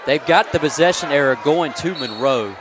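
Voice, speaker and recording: A man's voice: on the high side, lively intonation, moderate pace. A man giving casual live color commentary on a basketball game, with joking and exclamatory reactions. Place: high school basketball gym. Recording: loud crowd and noise in gym. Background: loud crowd noise, basketball bounces.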